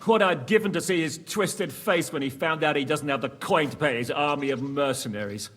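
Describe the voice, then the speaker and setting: An actor playing a crude, hearty, shrewd medieval war commander in a video game cutscene, speaking emphatically. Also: male voice, a little high, fairly quick, a bit bright, long reverb tail. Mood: gloating. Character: boisterous, foul-mouthed medieval war commander, shrewd and gloating.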